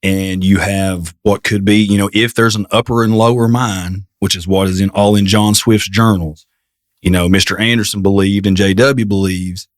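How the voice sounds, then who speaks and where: A man, a podcast guest, chatting in a lively, casual way, often saying "you know" and "like". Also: on the low side, normal speed. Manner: animated, fond of "you know" and "like". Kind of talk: casual chat.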